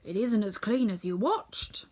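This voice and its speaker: A woman's voice: mid-range voice, a little slow, a little mellow, lightly acted. A woman voicing a stout, bossy, blunt hammerhead man who guards his hill in a storybook. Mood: gloating. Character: stout armless hammerhead man, gruff and defiant gatekeeper.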